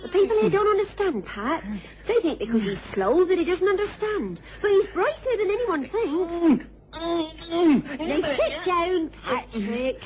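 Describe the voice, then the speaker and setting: An actress playing a sensible, protective English innkeeper's daughter in a radio drama, firm and soothing. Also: female voice, very high-pitched, sing-song, unhurried, full character voice. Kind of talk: British radio drama acting. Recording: dull old radio recording, clear noise.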